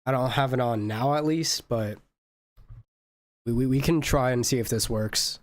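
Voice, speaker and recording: A man chats casually as a live game streamer, recorded close and dry. His delivery is halting and muttered, as if thinking aloud, at an ordinary male pitch. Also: dry recording. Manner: halting, muttering to himself while troubleshooting. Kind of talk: casual gaming livestream chat.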